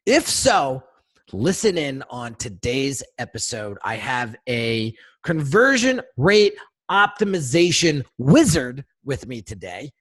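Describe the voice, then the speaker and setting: Excitable man hosting a podcast. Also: mid-range voice, slow, husky, no room sound. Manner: enthusiastic, repetitive, swears casually. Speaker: podcast host. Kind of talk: casual podcast interview.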